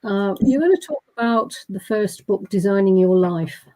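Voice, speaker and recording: A woman hosting a live online panel, friendly and brisk as she prompts her guests. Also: mid-range voice, animated, mellow, poor audio. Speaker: host. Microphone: video call.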